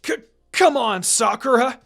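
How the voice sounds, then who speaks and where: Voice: mid-range voice, lively intonation, a little slow, creaky, fairly bright tone, faint music behind, voice pushed up. A reader voicing a brash, easily cowed teenage boy ninja in a story reading. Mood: panicked stammering. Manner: cocky, stammering when frightened.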